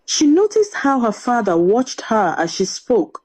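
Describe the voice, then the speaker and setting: A woman's voice: fairly high pitch, sing-song, measured pace, a little mellow, rough recording. A woman narrating a scripted folktale as a dramatic storyteller.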